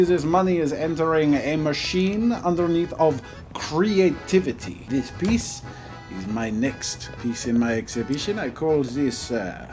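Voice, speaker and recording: High, animated man with put-on French accent. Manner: theatrical, mock-pompous. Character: earnest, self-important French painter, male. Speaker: video game streamer. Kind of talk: playful in-character gameplay commentary. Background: music.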